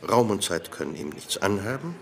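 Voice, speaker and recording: Radio play: grandiloquent tippler, lowish voice with sweeping, animated intonation. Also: male voice, a little fast, gravelly, heavily acted. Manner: florid, declaiming. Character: florid, theatrical, tipsy male admirer. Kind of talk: radio drama acting.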